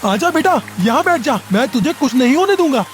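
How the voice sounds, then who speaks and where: Man playing earnest cartoon male, very high pitch, animated intonation, dramatic, children's fable. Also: a little fast, a little hiss or hum. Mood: reassuring. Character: male pigeon father, also solemn god of death. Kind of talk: children's animated moral story acting.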